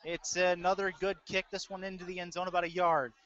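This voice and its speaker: A man's voice: high-pitched, little pitch movement, fairly quick, fairly bright tone. An American man working as a play-by-play announcer, giving live commentary on a football game. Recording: dull, lo-fi webcast audio.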